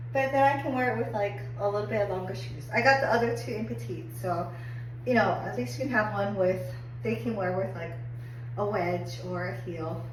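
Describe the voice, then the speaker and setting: A woman getting over a cold and a little hoarse, chatting upbeat through a clothing try-on review with lots of "like"s. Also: on the high side. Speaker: fashion haul vlogger. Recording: echoey room, distant mic, faint hum.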